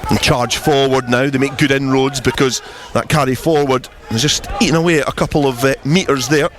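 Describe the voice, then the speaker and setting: A man's voice: mid-range voice, steady tone, brisk. A man giving flowing, descriptive live radio commentary on a rugby match. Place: outdoors at a rugby ground. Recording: outdoor match with crowd noise. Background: crowd at the match.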